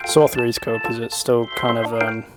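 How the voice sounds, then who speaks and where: A man's voice: mid-range voice, flat delivery, moderate pace. A young man giving a casual music production tutorial in an informal, improvised way, thinking aloud as he works. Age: young adult. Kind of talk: casual screen-recorded software tutorial. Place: indoor room at home. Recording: loud electronic synth music over voice. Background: loud synth and beat playback.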